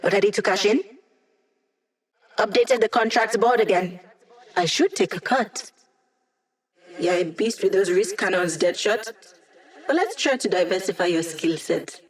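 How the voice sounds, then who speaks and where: A woman's voice: mid-range voice, close to an everyday voice. A woman playing a wry, teasing young hacker. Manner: wry, teasing, quick quips. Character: witty young female hacker, playful and sly. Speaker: hacker support character. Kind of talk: video game voice lines.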